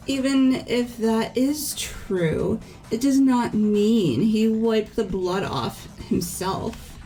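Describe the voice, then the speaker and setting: A doubting teenage schoolgirl from a mystery game, played by a woman. The voice is fairly high and questioning, with music running behind it. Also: extremely slow, warm tone. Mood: skeptical. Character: skeptical teenage girl student questioning the theory. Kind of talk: scripted game dialogue read aloud by fans. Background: background music.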